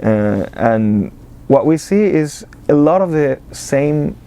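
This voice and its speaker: A man's voice: fairly high pitch, animated, slow, dark tone. A man from Argentina with an Argentine accent, a guest in an interview who talks casually with many fillers and restarts. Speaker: interview guest, business accelerator director.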